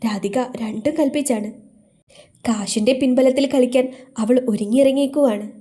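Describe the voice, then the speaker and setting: Woman doing a middle-aged guy friend, firm and reassuring, mellow tone. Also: high-pitched, moderate pace, close to an everyday voice. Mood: calm. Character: steady middle-aged male family friend.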